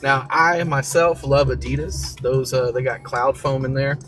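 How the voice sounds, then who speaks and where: A man's voice: mid-range voice, level tone, measured pace, a bit bright. American man, casual motivational talk over music. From southern United States (Mississippi and Florida). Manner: slangy, encouraging, repeats key phrases for emphasis. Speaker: talk show host. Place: in a vehicle during a commute.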